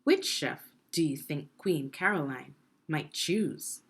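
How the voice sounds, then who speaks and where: A woman reading a children's story aloud in a deliberate, expressive teaching manner, putting questions to the listener. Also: low-pitched, breathy edge. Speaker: education consultant reading a children's book aloud.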